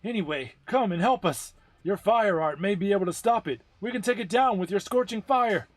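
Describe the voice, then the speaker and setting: A man voicing an urgent young male squad commander in a forceful, melodramatic game-story reading. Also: sits high, normal speed, no room sound, voice pushed up.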